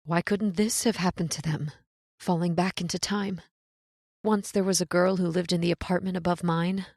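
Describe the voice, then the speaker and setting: A woman's voice: fairly low pitch, fairly even intonation, normal speed, gravelly, darkish tone, no room sound, studio quality. An actress playing a fatalistic, tearless woman lost in prehistoric time, grave and dramatic in old-time radio horror style. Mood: weary, resigned.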